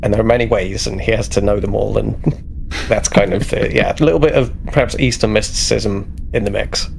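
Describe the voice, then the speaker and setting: A man playing a tabletop game, casually explaining his character in a halting, hesitant way. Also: fairly low pitch, fairly even intonation, vocal fry. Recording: noisy background.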